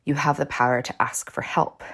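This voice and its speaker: A woman with a stuffed-up cold, hosting a training podcast and reading a scripted talk in a calm, measured way, slowing to stress key terms. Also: deep voice, slightly rough. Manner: calm, measured explanation with slow, deliberate emphasis on key terms.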